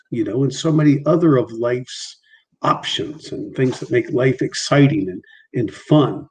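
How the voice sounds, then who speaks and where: A man's voice: medium pitch, darkish tone, low-quality recording. A middle-aged man who stutters, speaking as a guest on a casual video call with many repeats and restarts. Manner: halting, frequent repetitions and restarts, mild stutter.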